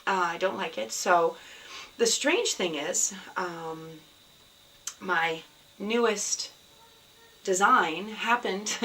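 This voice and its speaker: Podcast host, woman, hoarse and scratchy from a cold, bright tone, set back from a camera mic with faint room noise. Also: mid-range voice. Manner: rambling, many ums, soft and sad in places. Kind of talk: casual video podcast chat. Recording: somewhat distant mic, faint background noise.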